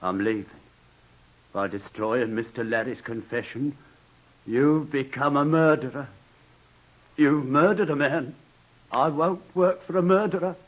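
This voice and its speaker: An actor playing a deferential, morally outraged manservant, earnest and pleading, in a 1940s radio drama. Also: male voice, medium pitch, measured pace, long pauses, natural voice. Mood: quiet indignation. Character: deferential but morally outraged male servant. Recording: dull old 1940s radio broadcast.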